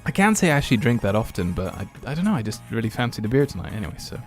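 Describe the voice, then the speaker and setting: Low-voiced man casually hosting a podcast. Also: sing-song, very fast, soft background music. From born and partly raised in Austria. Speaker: podcast host. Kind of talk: casual chat on an entertainment show.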